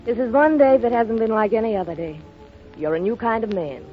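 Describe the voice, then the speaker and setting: A woman's voice: fairly high pitch, fairly quick, soft background music, lightly acted. An actress playing a bold, thrill-seeking young upper-class woman in a 1930s radio drama, with poised, clipped phrasing. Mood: romantic, guarded. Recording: dull old 1930s radio recording.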